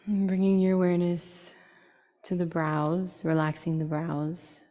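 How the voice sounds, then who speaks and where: Woman, meditation guide, deep register, dark relaxed timbre, slow soothing delivery, short phrases, long pauses, reverberant recording.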